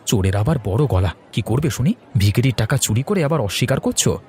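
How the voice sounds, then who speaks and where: A man's voice: medium pitch, animated, brisk, darkish tone, a little hiss or hum, close to an everyday voice. A man playing a righteous, stubborn young villager in a cartoon folk tale, speaking earnestly and emphatically. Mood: accusing. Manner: accusing, emphatic.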